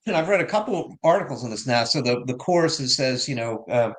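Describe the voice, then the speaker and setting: A man's voice: medium pitch, lively intonation, fairly quick. Male musician guest, medium voice, loose rambling storyteller with lots of restarts. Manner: rambling, explains with many restarts and fillers. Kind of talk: casual interview talk.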